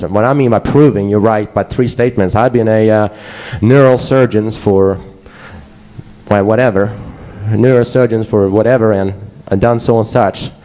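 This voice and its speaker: A man's voice: lowish voice, mellow, low-quality recording. A man with a Swedish accent giving a casual, joking conference presentation to a live audience. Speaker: conference speaker.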